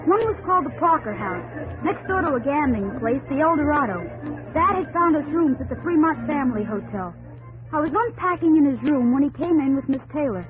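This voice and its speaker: Brief: earnest young frontier lad narrating his tale, high light voice, music playing under him. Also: animated, a little fast, phone-quality sound. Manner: earnest storytelling. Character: young man recalling the gold rush, earnest. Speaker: narrator of the story. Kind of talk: 1950s radio drama narration.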